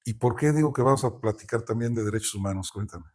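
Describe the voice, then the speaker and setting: A man from Veracruz, Mexico, with a Mexican Spanish accent, hosting an interview in a relaxed, conversational way with hesitant restarts. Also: on the low side, fast talker. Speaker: interviewer host. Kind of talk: casual interview.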